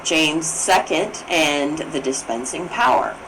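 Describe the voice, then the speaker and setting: A woman with a fairly low voice reads a formal text aloud. Her intonation moves around a lot, and her careful delivery has small stumbles and corrections. Some background noise sits behind her. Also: expressive, unhurried. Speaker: reader of a book on constitutional history. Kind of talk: read-aloud scripted narration of a formal text.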